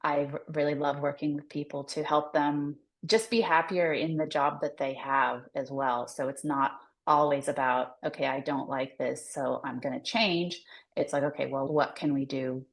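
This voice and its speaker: A woman's voice: deep voice, fairly even intonation, a little slow, creaky. An American woman explaining her work as a career coach in a conversational, loosely phrased interview style. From United States. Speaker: career coach, podcast guest.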